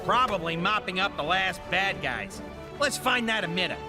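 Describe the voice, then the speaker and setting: Game sidekick: a grumpy small furry critter, sarcastic, swinging intonation. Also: male voice, high voice, animated, moderate pace. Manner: gruff, sarcastic, curt. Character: grouchy wisecracking small furry creature. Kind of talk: comic video game character acting. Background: music.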